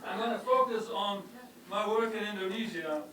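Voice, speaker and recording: A man's voice: sits high, moderate pace, bright, forceful tone. Dutch-accented older man lecturing in an echoey hall. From Netherlands. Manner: wry, self-deprecating humor, with hesitant fillers. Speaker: university geochemistry professor giving a public talk. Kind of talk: informal public lecture with slides and jokes. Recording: echoey hall, mic far off, audible room noise.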